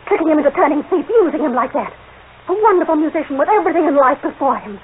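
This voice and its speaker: A woman's voice: high-pitched, expressive, fast talker. An actress playing a fierce, protective mother, impassioned and scornful, in 1940s radio melodrama style. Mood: bitter outrage. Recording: tinny old radio recording, faint noise.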